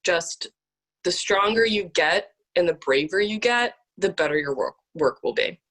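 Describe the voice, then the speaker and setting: Low-voiced woman, casual and emphatic. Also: lively intonation, a little slow, fairly bright tone. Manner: informal, emphatic, frequent "like". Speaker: visual artist. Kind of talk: casual chat about art and work.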